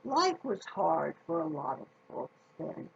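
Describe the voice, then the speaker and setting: A woman reading a children's story aloud in a folksy, deliberate manner, speaking directly to her listeners. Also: low-pitched, big pitch swings, gravelly, dull audio, slight background noise.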